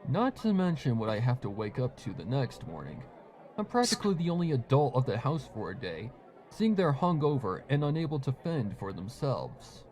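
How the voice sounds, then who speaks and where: A man's voice: mid-range voice, sing-song, normal speed, darkish tone, natural voice. A man voicing an embarrassed, level-headed young male pony in a fan-fiction audio drama. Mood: embarrassed, wry.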